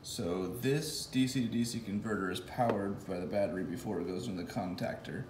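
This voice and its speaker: Low-voiced man in an echoey garage. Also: brisk, noisy background. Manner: thinking aloud, many fillers and restarts. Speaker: vlogger building an electric motorcycle. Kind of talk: casual workshop walkthrough. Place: home garage.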